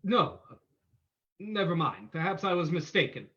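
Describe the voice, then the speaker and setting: A woman playing a composed, courteous gentleman sleuth in an old-time radio drama reading. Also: lowish voice, sing-song, a little slow. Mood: urgent. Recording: muffled, lo-fi sound.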